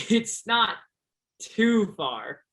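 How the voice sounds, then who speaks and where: A man's voice: high voice, sing-song, drawn-out words, fairly bright tone, slightly rough, full character voice. An actor in a one-act stage play playing an earnest, shy young Alaskan backwoodsman, speaking a little hesitantly. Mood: unsure.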